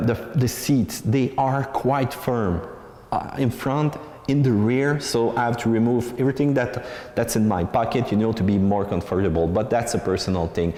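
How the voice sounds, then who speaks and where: A man's voice: mid-range voice, darkish tone. Echoey man reviewing cars. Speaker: car reviewer, channel host. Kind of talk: casual video car review, talking to viewers. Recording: echoey with long room reverb.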